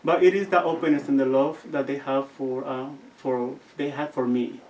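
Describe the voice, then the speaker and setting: A young man with a Guatemalan accent, telling stories as an interview guest and often pausing to search for his words. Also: medium pitch, steady tone, darkish tone, slight background noise. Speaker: seminarian guest. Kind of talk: casual interview storytelling. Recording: distant from the mic.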